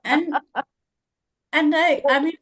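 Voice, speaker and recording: A woman's voice: high-pitched, fairly even intonation, takes it slowly, a bit bright. A woman hosting an advice chat on a video call, speaking reflectively and affirming others, often restarting her sentences. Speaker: advice show host.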